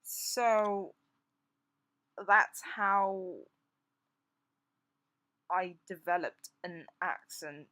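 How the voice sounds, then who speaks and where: A woman in her twenties from Singapore, with a Singaporean English accent softened by years in the UK, talking in an impromptu vlog with frequent restarts and stammers while recovering from jaw surgery. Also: lowish voice, very slow, long pauses. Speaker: vlogger.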